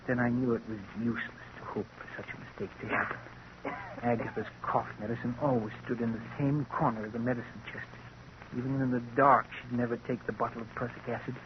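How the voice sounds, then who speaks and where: A man's voice: medium pitch, lightly acted. An actor playing a henpecked, scheming middle-aged husband in a vintage radio drama, intense and brooding as he narrates and talks. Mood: tense, scheming. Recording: muffled, hissy vintage radio recording.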